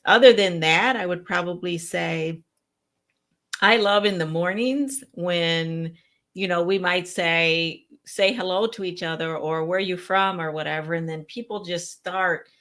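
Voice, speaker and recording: Lower-voiced woman, warm and enthusiastic. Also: unhurried. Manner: enthusiastic, reflective, uses filler words. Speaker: co-host. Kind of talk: casual chat.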